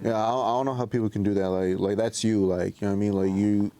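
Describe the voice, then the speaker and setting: A man's voice: lowish voice, steady tone, fast, background noise. A man in casual, slangy podcast chat, repeating phrases and often checking that listeners follow him.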